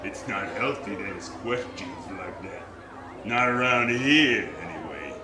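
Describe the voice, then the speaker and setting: A man's voice: on the low side, expressive, unhurried. Man playing a surly, menacing carnival boss, roomy sound. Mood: veiled menace. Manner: gruff, sarcastic, threatening. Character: surly middle-aged carnival shooting gallery boss, menacing racketeer. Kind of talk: live 1940s-style radio drama acting. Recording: roomy live theater, noisy background.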